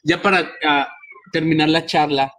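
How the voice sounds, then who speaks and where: A man's voice: fairly high pitch, steady tone, normal speed, sharp tone, slight background noise. A man hosting a podcast, telling stories animatedly with many restarts. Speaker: podcast host. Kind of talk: casual interview chat.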